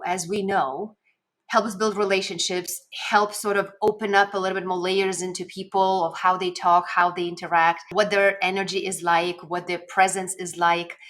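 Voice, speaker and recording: A woman's voice: fairly low pitch, a bit bright. Woman with a Lithuanian accent, encouraging coach. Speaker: video coach and host. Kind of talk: casual instructional vlog to camera. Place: home office, indoors. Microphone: desk microphone at a webcam setup.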